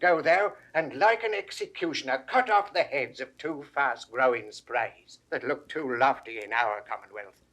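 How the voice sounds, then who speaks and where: An actor in a 1960s Shakespeare audio drama playing a grave, plain-spoken head gardener with deliberate, declamatory delivery. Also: male voice, mid-range voice, a bit bright. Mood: commanding.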